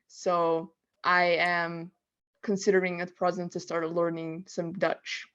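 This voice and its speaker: A woman with a Bulgarian accent chatting cheerfully on a video call, her first language Bulgarian. Her voice sits at an ordinary middle pitch. The audio is slightly dull and lo-fi. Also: flat delivery, slow pace, a bit bright. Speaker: participant. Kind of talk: group discussion on a video call.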